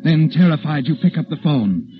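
An actor playing an omniscient, sardonic narrator of a 1940s radio mystery, taunting a guilty man in an ominous, knowing, deliberate way. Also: on the high side, expressive, close to an everyday voice. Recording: dull old radio recording with music behind.

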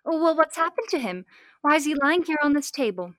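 A high-pitched young heroine acted by a woman in classic radio drama style. The voice is close and dry, with an earnest, questioning delivery. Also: expressive, fairly quick, fairly bright tone, no echo. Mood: alarmed. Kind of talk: old-time radio drama acting.

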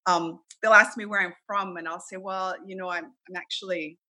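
A woman's voice: on the low side, fairly even intonation, normal speed, pushed and bright. A middle-aged woman from Canada, chatting casually as an interview guest, with frequent ums and easy laughs.

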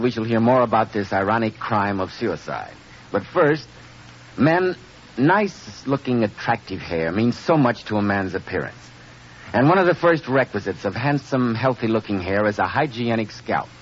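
A man with an American accent announcing and selling in a polished, emphatic 1940s radio style. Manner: polished, emphatic sales pitch. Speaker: announcer and host of radio drama. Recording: muffled, hissy vintage radio recording.

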